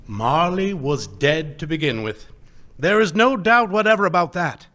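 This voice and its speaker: Male narrator, slow and deliberate, expressive rise and fall, radio play. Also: fairly high pitch. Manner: deliberate, emphatic storytelling.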